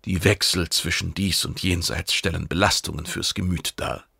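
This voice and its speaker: A man reads as a cheeky oracle page who is secretly a spy in a science fiction story. He sounds calm, formal and probing, with a low, gravelly voice recorded very dry. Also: normal speed, no room sound, exaggerated voice. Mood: earnest, yearning. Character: shape-changing spy posing as eager, cheeky oracle page.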